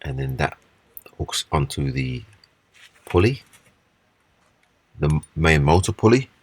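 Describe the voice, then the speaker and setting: Very deep-voiced husky man. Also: expressive, takes it slowly. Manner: unscripted, step-by-step explaining. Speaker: repair demonstrator. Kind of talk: casual hands-on repair walkthrough.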